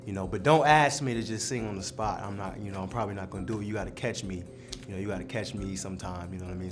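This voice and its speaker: A young man, a college basketball player, chatting casually and playfully boastful, peppering his talk with "you know". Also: fairly low pitch. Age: young adult. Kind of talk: casual chat answering fan questions.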